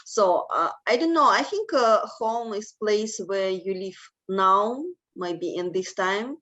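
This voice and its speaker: A woman's voice: mid-range voice, lively intonation, fairly bright tone. A woman from Russia, speaking hesitantly with many fillers as an interviewee on a video call. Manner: hesitant, many fillers, halting non-native phrasing. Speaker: alum interviewee. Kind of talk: panel discussion over video call.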